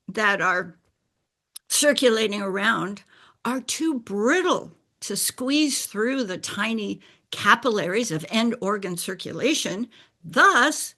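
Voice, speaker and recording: An older woman whose first language is Bulgarian, telling a personal story in a deliberate, explanatory way and clearing her throat now and then. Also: on the high side, sharp tone. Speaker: speaker sharing a personal story. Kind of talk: slow scripted personal narration.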